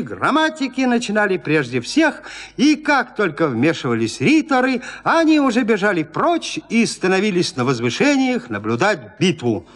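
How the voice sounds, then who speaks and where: A man narrating literary fiction in an expressive, theatrical, gently ironic storytelling manner. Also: on the high side. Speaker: narrator reading classic fiction.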